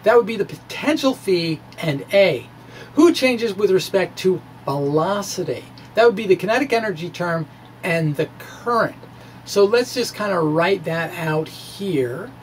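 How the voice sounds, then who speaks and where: A middle-aged man with a fairly high voice lectures informally. He talks haltingly and hesitantly, slipping in wry asides as he explains. There is noticeable background noise in the recording. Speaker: physics blogger and lecturer. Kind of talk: informal physics lecture with derivations. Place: small indoor room with bookshelves. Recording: clear steady background noise.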